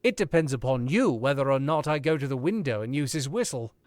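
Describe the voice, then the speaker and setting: A man playing a cool, measured male author in a 1940s-style radio play, calmly closing in on a murderer. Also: mid-range voice, no echo, lightly acted. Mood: coolly menacing. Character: suave, probing older male author.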